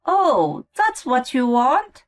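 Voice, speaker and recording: A woman's voice: sits high, very animated, takes it slowly, faint music behind, pitched above the performer's own voice, exaggerated voice. A woman voicing a cheerful, eager mother in a story, with a sweet, insistent manner. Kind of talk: acted story dialogue.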